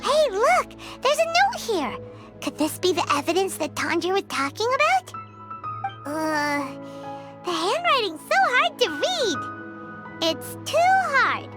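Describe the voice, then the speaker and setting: A tiny, excitable fairy sidekick from a video game. She squeaks at an extremely high pitch with very animated swings. She is perky, childlike and blunt, and prominent music plays underneath. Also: slow pace, heavily acted. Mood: curious. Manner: squeaky, perky, refers to self in third person.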